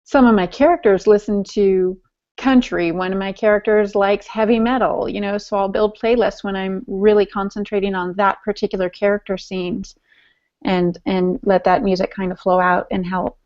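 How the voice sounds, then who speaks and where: A woman co-hosting a podcast in casual, chatty fashion, with a teasing streak. Her voice sits at an ordinary middle pitch. The audio is dull and lo-fi. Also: steady tone, normal speed, a little mellow. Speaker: podcast co-host and writer. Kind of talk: casual chat.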